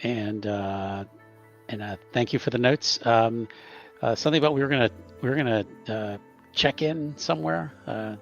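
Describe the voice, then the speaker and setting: A man's voice: mid-range voice, normal speed, creaky, faint music behind. A man chatting casually as a player in a tabletop game, hesitant and trailing off, on a dull microphone. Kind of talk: casual unscripted game chat. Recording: dull, band-limited microphone sound.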